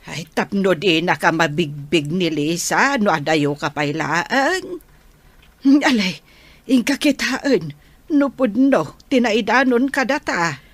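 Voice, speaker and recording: A woman's voice: lowish voice, animated, takes it slowly, dry recording. A woman playing a scolding, suspicious elderly mother and wife in a radio drama.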